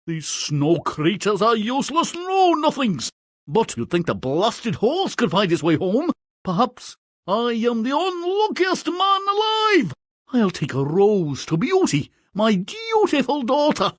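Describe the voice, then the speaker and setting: High-pitched, cowardly merchant father. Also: huge pitch swings, a little slow, a bit bright, dry recording, clean studio sound, full character voice. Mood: distraught, self-pitying. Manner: melodramatic, stammering in fear. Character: fretful, cowardly ruined merchant father, self-pitying. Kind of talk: theatrical video game cartoon acting.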